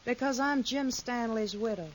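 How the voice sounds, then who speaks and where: A woman's voice: fairly high pitch, moderate pace, slight background noise, lightly acted, poor audio. A woman playing a scheming frontier widow. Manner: coy and sweet, turning sharp and scornful. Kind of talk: 1950s radio drama acting.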